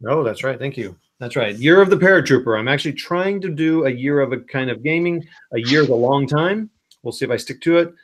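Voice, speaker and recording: A man hosting a board game stream, calmly explaining the rules with lots of ums, talking into a computer mic. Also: mid-range voice. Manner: unhurried, many ums, thinks aloud over rules. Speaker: wargaming stream host. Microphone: computer mic at his desk.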